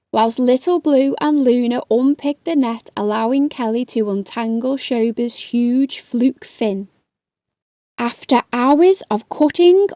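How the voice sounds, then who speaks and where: A woman's voice: high-pitched, mellow, no echo, clean studio sound. A woman reading aloud to young children as a storytime host, coaxing them along with questions. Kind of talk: children's read-aloud narration.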